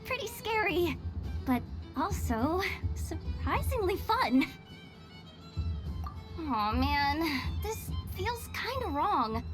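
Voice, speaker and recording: A woman's voice: extremely high pitch, big pitch swings, extremely slow, full character voice. A woman voicing a candid, scrappy young kid in a video game, with a casual, easily flustered way of talking. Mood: sheepish, excited. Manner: casual, hesitant, exclaiming. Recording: music under the voice.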